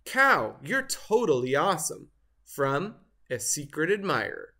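A storyteller voicing an excitable, dramatic storybook turkey who gobbles, wails and exclaims. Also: mid-range voice, a little slow, heavily acted. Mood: eager. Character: excitable turkey, dramatically dejected when found out.

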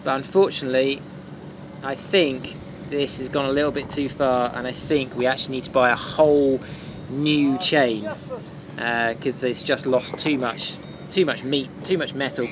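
A man's voice: medium pitch, moderate pace. A man in his thirties, a sailing vlogger, casually explaining maintenance work on his boat outdoors. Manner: relaxed, practical, explains while working. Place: outdoors on a boat and a marina dock. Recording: outdoor noise behind.

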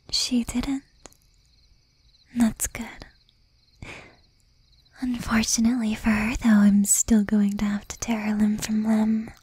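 A woman acting as a jealous, doting young demon girlfriend in a soft, breathy ASMR whisper. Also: a little high, flat delivery, heavily acted. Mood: menacing jealousy. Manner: slow, intimate, menacing yet doting. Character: jealous, possessive young female demon girlfriend, shy, tender.

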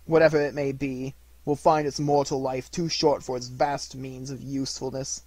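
A man voicing the anguished ghost of a dead miserly businessman in a storybook, lamenting solemnly and slowly, from hushed to loud. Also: on the high side, dry recording, voice pushed up, natural voice. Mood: wailing.

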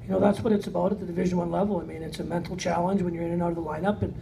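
A man's voice: high voice, fairly even intonation, racing, creaky. A middle-aged man, an assistant hockey coach, giving plain-spoken post-game remarks peppered with "you know". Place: indoor press conference room. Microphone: far camera. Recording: distant camera mic, noisy room.

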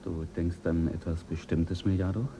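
An actor playing a skeptical, quietly ruthless male astronaut in a radio play, speaking low and measured. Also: flat delivery, a little hiss or hum. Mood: calm.

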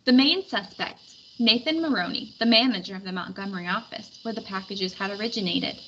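Bright-voiced woman reading a storybook, slightly muffled. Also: medium pitch, fairly quick. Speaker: read-aloud narrator. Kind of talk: storybook read-aloud, scripted narration. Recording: dull lo-fi recording with background noise.

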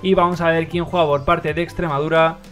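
A man's voice: fairly high pitch, fairly quick, soft background music. A young man from Madrid with a Spain Spanish accent, hosting a livestream and commentating a mobile game match with lots of fillers. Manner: excitable play-by-play, many fillers. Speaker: livestream host and caster.

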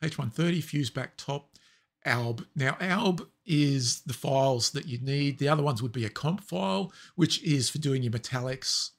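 Raspy man walking through a tutorial. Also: mid-range voice, moderate pace. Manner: relaxed step-by-step explaining with fillers. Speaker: tutorial presenter. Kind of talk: screen-recorded software tutorial.

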